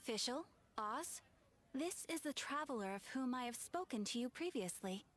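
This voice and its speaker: A woman's voice: sits high, expressive, normal speed, fairly bright tone. An actress voicing a polite, professional female guild receptionist in a video game, formal and composed.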